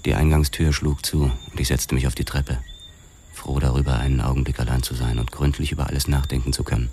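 Hardboiled detective narrator, extremely low pitch, sombre, measured, noir radio drama, noisy background. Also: male voice, flat delivery, mellow. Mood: reflective. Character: hardboiled young male police detective, terse and brooding. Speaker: first-person narrator. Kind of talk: scripted noir crime narration.

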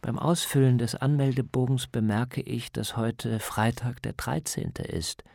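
A man's voice: mid-range voice, steady tone, measured pace, vocal fry, a little mellow, dry recording. A man narrating a scripted radio travel feature as its reporter.

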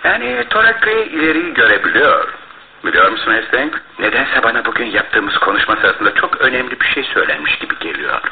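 Courteous self-assured detective, medium pitch, theatrical, teasing, radio drama, tinny recording with faint noise. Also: male voice, big pitch swings, a little fast, gravelly. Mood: thoughtful, intent. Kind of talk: Turkish radio theatre acting. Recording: tinny, band-limited old radio broadcast, faint noise.

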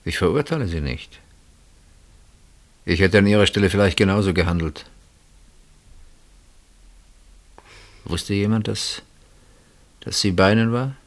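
A man's voice: lowish voice, moderate pace, pauses often, lightly acted. An actor in a German radio play, playing a suspicious husband who confronts his sister-in-law with insistent, dramatic questioning. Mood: grave, probing.

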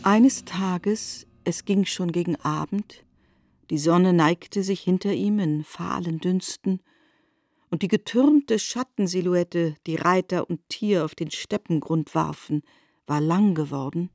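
Woman, lowish voice, scripted literary narration, measured storytelling. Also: darkish tone, no room sound, studio quality.

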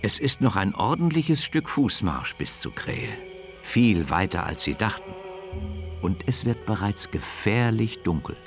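A man narrating a children's radio story in an expressive, measured storytelling manner. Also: fairly low pitch. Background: loud music.

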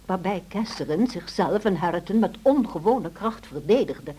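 Radio drama housekeeper, middle-aged, loyal and anxious, earnest storyteller, soft-edged husky voice. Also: female voice, fairly low pitch, animated, normal speed. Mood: earnest, concerned. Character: Nelly Dean, loyal anxious housekeeper recounting past events.

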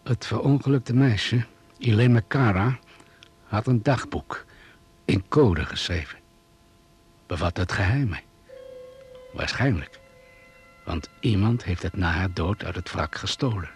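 An actor playing a composed doctor who leads crash survivors, giving a formal, precise account in a radio drama. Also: male voice, lowish voice, expressive, slow, long pauses. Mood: measured, concerned.

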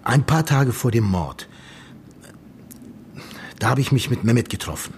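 An actor playing the grave, loyal friend of a murdered man in a radio drama, speaking in an earnest, measured way. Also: mid-range voice, lively intonation, slight background noise. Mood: earnest, firm. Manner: measured, earnest, telling things step by step. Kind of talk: German radio drama dialogue.

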